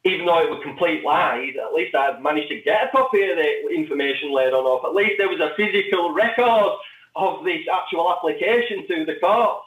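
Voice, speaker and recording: A man speaking as an interview guest, discussing legal cases in a halting, repetitive way. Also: a little high, fairly bright tone. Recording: tinny phone-like line, distant and echoey.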